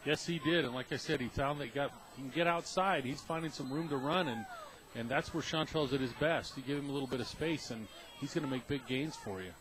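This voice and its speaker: A man's voice: medium pitch, a little fast, a bit bright. An American man giving casual color analysis during a radio football broadcast. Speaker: color commentator. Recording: noisy outdoor stadium radio broadcast.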